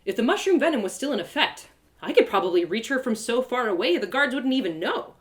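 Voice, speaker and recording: A woman storyteller narrates a first-person tale in a live reading. Her pitch moves in wide, animated swings, and her delivery is dramatic and expressive. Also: fast talker. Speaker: author and storyteller.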